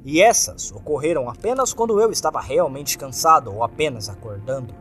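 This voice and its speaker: A man's voice: a little high, expressive, brisk, fairly bright tone. A man with a Brazilian accent narrating a scripted first-person horror story in a dramatic, suspenseful way. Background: background music.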